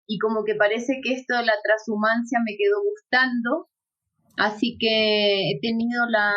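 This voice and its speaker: A woman raised in Ecuador, an interview guest, telling her story with frequent laughs and hesitant fillers. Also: mid-range voice. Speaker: guest, actress and yoga instructor. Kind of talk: conversational interview. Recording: dull lo-fi audio.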